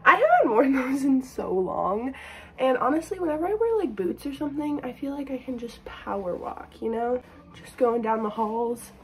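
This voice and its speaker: A woman's voice: high voice, big pitch swings, normal speed, darkish tone. A teenage girl vlogging to her phone, chatting upbeat and rambling with lots of fillers. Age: teens. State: losing her voice. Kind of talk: casual vlog chat. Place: bedroom at home, sometimes outdoors or in a car. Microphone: phone camera held close.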